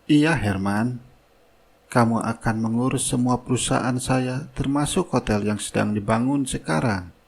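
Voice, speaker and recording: Man playing a calm, assured wealthy boss. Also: mid-range voice, measured pace, gravelly, a little hiss or hum. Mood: assured, generous. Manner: formal, calm, authoritative. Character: wealthy company boss, generous, assured, fatherly. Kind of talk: cartoon drama dialogue.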